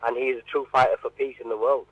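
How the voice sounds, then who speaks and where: A man answering informally in a radio interview, halting and often repeating his words. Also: mid-range voice, level tone, breathy edge, no room sound, phone-quality sound.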